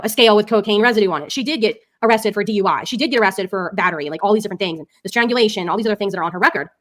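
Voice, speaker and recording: Female commentator, quick talker, informal and opinionated, emphatic delivery. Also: medium pitch, a bit bright. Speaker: commentary streamer.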